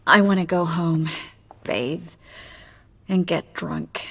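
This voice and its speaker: An actress playing a resolute young sorceress in a video game, wry and weary, with a measured, breathy delivery. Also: female voice, lowish voice, a bit bright, exaggerated voice. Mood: weary, subdued.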